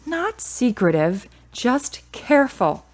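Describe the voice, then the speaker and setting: A woman's voice: on the high side, very animated, takes it slowly, full character voice. Guarded grieving daughter, played by a woman. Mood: irritated, firm. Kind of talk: radio drama acting.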